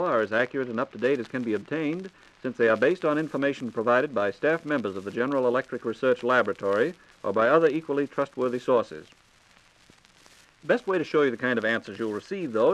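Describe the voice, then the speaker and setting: A man's voice: medium pitch, fairly bright tone, slight background noise, rough recording. An American man hosting a scripted 1940s radio program in a polished, courteous announcer style. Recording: 1940s radio transcription disc.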